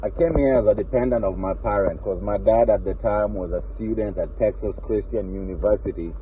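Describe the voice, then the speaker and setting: A man's voice: lowish voice, fairly quick, highs cut off, slight background noise. A man in his forties from Kenya with a Kenyan accent, talking earnestly to camera and stressing his points by repeating them. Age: 40s. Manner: earnest, emphatic, repeats key phrases. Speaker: vlogger. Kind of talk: casual personal talk to camera.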